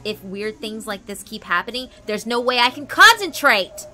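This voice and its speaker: A woman's voice: a little high, very animated, normal speed, sharp tone, soft background music, voice pushed up, heavily acted. A woman voicing a fierce, panicky schoolgirl stage actress in a visual novel, with intense, defiant outbursts. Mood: defiant, agitated.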